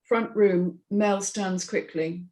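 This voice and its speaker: A woman's voice: medium pitch, steady tone, slow pace, warm tone. A woman reading screen directions aloud and moderating a script discussion. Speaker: host of script read and feedback session.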